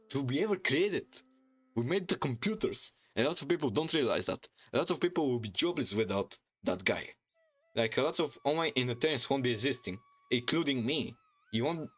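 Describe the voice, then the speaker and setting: A man from Bulgaria with a Bulgarian accent, chatting casually on a livestream in halting, rambling English full of restarts. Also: mid-range voice, a bit bright. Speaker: streamer and YouTuber. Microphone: desk microphone.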